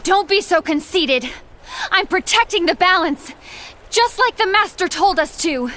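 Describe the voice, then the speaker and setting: A woman's voice: extremely high pitch, animated, unhurried, bright, forceful tone, slight background noise, heavily acted. An actress playing a stern, righteous warrior woman, commanding and accusatory in a game cutscene. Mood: scolding, outraged. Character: stern, righteous female warrior guarding the balance. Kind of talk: cinematic game cutscene acting.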